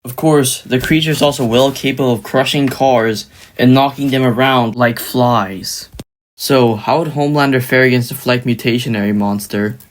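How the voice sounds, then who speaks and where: A man works through a scripted explainer as the narrator of a commentary video. His voice sits at an average pitch for a man. He presents fictional match-ups as a prepared voice-over. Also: normal speed.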